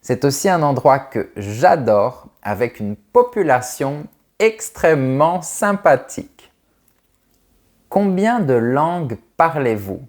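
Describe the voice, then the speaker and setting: A man in his thirties from France, a French teacher answering viewers' questions in a clear, carefully articulated, friendly way. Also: mid-range voice, big pitch swings, slow pace, darkish tone. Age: 30s. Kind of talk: slow, clear video Q&A for learners.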